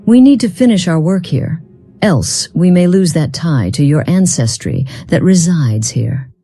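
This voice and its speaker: A woman playing a smug, self-assured, mysterious video game companion who speaks in a measured, formal, condescending way. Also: lowish voice, lively intonation, mellow, breathy edge, no echo, soft background music, exaggerated voice. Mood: measured, serious. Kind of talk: scripted video game character dialogue.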